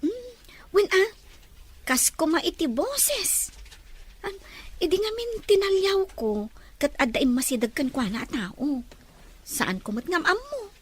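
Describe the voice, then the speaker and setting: A woman's voice: very high-pitched, expressive, measured pace, long pauses. A woman playing a curious, observant, teasing young female friend in an audio drama.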